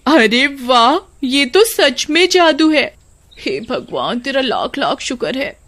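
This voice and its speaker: Casting: female narrator as sceptical village wife, lifted voice, very lively intonation, animated folk tale. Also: sits high, slow, pitched above the performer's own voice, full character voice. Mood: amazed. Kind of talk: animated story voice acting.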